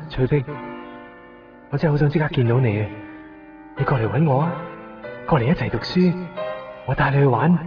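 A man's voice: medium pitch, natural voice. A young man with a Hong Kong Cantonese accent acting a remorseful, lovesick student abroad in a radio drama, speaking earnestly and confessionally. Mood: pleading. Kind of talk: radio drama monologue, letter read as voice-over. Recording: dull distant radio-drama recording, music behind.

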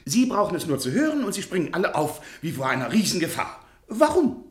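A man's voice: high-pitched, very animated, brisk. An actor playing a hesitant, modest male university lecturer in a radio drama, stammering politely and easily dazzled. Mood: troubled, insistent. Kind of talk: theatrical German radio drama acting. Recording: echoey studio radio play.

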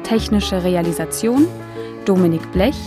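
A woman announcer reading the closing credits of a radio play in a measured, formal way. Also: lowish voice, expressive. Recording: announcement over loud music.

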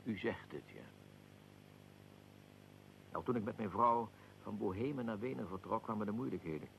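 A deep, heavily gravelled voice gives us a middle-aged archduke in a historical radio play. He is proud and aggrieved, and weighs his words with formal dignity. There is slight noise and rumble on the recording. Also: moderate pace, a bit bright, poor audio. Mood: reflective. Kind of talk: radio drama, historical figure being interviewed.

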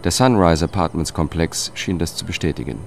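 A man's voice: deep voice, fairly quick, gravelly, a little hiss or hum. An actor playing a wary, courteous government investigator who narrates his own story in a radio drama, matter-of-fact and polite. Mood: matter-of-fact narration. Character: wary male government lawyer turned amateur investigator, courteous. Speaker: first-person narrator and lead.

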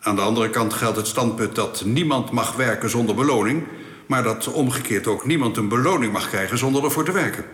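An actor playing a courteous, matter-of-fact alien official in a Dutch science fiction radio play, speaking formally and in measured phrases. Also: male voice, mid-range voice, a bit bright, natural voice. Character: courteous, matter-of-fact alien official man explaining his planet's laws. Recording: echoey reverberant hall effect.

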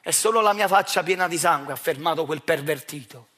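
A man with a Neapolitan accent performing a theatrical monologue, speaking straight to the audience in a measured, intense way. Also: on the high side, sharp tone. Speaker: stage actor.

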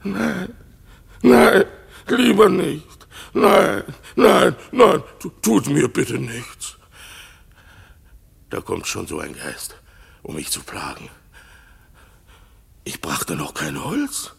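An actor playing a resentful, fearful island monster in a German radio play, theatrical and gravelly, swinging from growled curses to panicked cries. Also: male voice, a little high, lively intonation, very slow, long pauses, exaggerated voice. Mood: panicked, pleading, then fearful, furtive. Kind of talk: German radio play acting of classic verse.

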